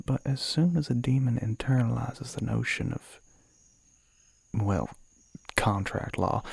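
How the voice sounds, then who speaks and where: A man playing a suave, flirty male demon in an ASMR roleplay, with a Southern American accent, a breathy, gravelly voice that often drops to a whisper, and a teasing, coaxing drawl. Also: mid-range voice, a little mellow, dry recording. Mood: candid, sly.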